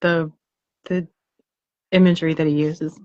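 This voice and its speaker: A woman with a fairly low voice co-hosts a casual poetry discussion. She speaks expressively, feeling for words as she goes. The sound is slightly dull, like boxy call audio, with very little room around it. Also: little pitch movement, unhurried, darkish tone, no room sound. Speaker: poetry reading co-host.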